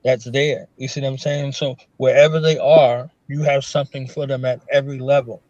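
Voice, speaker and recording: A man's voice: medium pitch, steady tone, brisk, a little mellow, dry recording. Man interviewer with a dull, dry sound. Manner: encouraging, peppers speech with "you see what I'm saying". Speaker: interview host. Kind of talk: conversational interview.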